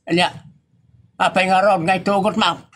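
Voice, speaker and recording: A man's voice: sits high, level tone, moderate pace, a bit bright. A man voicing a grumbling middle-aged husband and father in a comedic audio drama, scolding and teasing his family.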